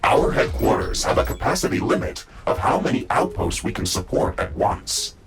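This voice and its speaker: A man playing a calm, dutiful mecha suit AI in a video game, speaking formally and with measure through a robotic filter. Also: low voice, normal speed, faint music behind. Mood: matter-of-fact. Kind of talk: scripted video game dialogue.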